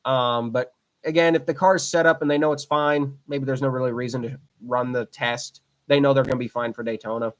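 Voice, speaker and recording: A man's voice: mid-range voice, fairly even intonation, fairly quick. A man in his twenties hosting a racing podcast solo, talking casually, rambling and repeating himself with lots of fillers. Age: 20s. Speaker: podcast host.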